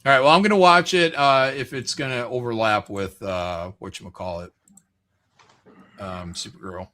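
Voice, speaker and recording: A man's voice: medium pitch, normal speed, bright, forceful tone. A man hosting a livestream, chatting casually and reading out viewer comments in an irreverent, joking, profane way. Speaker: livestream host.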